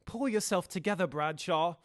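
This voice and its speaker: A young man playing a grave, dutiful Victorian gentleman lawyer in an audio drama, with a formal and deliberate delivery. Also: high voice, slight background noise, lightly acted. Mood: commanding. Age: young adult.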